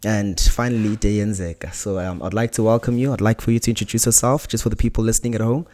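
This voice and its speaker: Young south african guy hosting a podcast, lowish voice, lots of ums and restarts. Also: fairly even intonation, fast talker. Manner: hesitant, many ums and restarts, soft-spoken. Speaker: podcast host.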